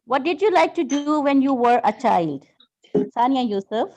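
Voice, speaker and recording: A woman's voice: sits high, lively intonation, moderate pace, darkish tone. A woman teaching an online English class over a video call, patiently correcting students' grammar.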